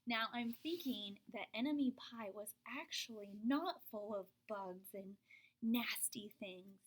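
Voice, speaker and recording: A woman reading a children's picture book aloud, expressive, dropping to whispers for suspense and pitching up for the child's lines. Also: measured pace, low-quality recording. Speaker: storybook reader.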